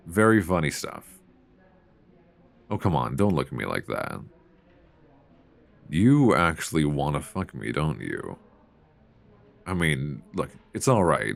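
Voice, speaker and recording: Very deep, gravelly middle-aged librarian played by a man. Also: full character voice. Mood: teasing, bashful. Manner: conversational, hesitant, teasing. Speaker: audio roleplay performer. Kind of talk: intimate ASMR roleplay. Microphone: close binaural microphone.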